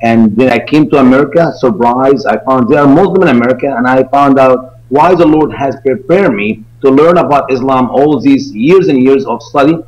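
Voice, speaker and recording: A man's voice: medium pitch, normal speed, few pauses. Egyptian-accented man on dull lo-fi audio. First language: Arabic. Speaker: interview guest, evangelical ministry founder. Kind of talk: earnest explanatory interview talk.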